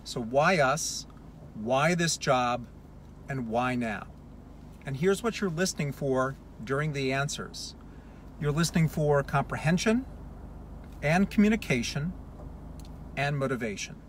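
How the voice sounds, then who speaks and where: A man giving explanatory advice as a hiring consultant, speaking deliberately and emphatically with pauses on key points. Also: medium pitch, animated, a little hiss or hum. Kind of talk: advice talk to camera, explanatory.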